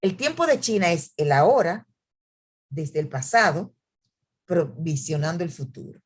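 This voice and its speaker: A woman's voice: lowish voice, sing-song, measured pace, breathy edge. A woman author presenting a book at an academic event, speaking in a hesitant way with many fillers and restarts.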